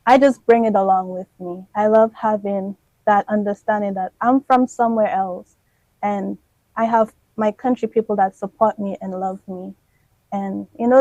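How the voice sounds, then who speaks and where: A woman's voice: mid-range voice, warm tone, no room sound, lo-fi sound. A woman, reflecting in a casual radio interview and laughing often. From Dominica. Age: 20s. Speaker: interview guest.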